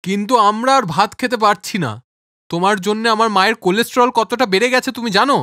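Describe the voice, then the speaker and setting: Casting: impatient young husband for cartoon story, fast, forceful, clipped delivery. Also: male voice, high voice, dry recording, studio quality. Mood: fed up. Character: impatient, businesslike young husband, protective of mother. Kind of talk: animated moral-story dialogue.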